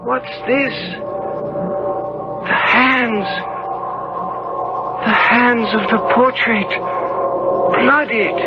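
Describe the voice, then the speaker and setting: A man acting a desperate, guilt-ridden young gentleman in a 1970s radio drama, theatrical and stammering, on an old radio recording. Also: sits high, highs cut off, heavily acted. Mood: anguished, hysterical, then feverish, gloating. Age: young adult.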